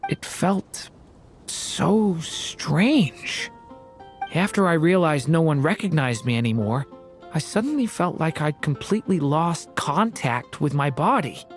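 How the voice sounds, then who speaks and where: An actor voicing a gentle, shy young dragon boy in a game, soft-spoken and hesitant. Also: fairly high pitch, big pitch swings, takes it slowly, music in the background. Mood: troubled. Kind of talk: game voice acting.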